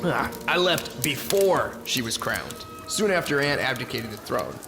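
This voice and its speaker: A man's voice: medium pitch, very animated, unhurried. A man playing a jaded, sardonic ex-soldier exile in a sci-fi audio drama, talking in a brooding, dramatic storytelling manner. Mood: guarded. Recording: long reverb, loud music behind. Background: loud music.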